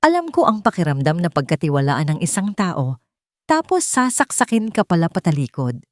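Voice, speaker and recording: A woman's voice: on the low side, very animated, moderate pace, dry recording, studio quality. A woman with a Filipino accent voicing a protective, authoritative wealthy man in a romantic story. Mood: tender, earnest. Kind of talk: romantic audio drama storytelling.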